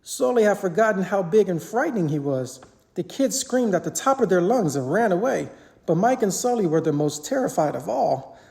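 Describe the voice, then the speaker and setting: A parent reading a children's story aloud in an animated storybook narration style. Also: mid-range voice, normal speed, gravelly.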